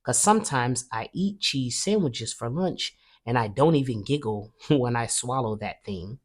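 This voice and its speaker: A woman's voice: rumbling low, expressive, a little slow, slightly rough, heavily acted. A woman voicing a brash, chatty kindergarten girl in a children's storybook, emphatic and childlike. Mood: matter-of-fact. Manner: emphatic, indignant, childlike grammar. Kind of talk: children's storybook read-aloud.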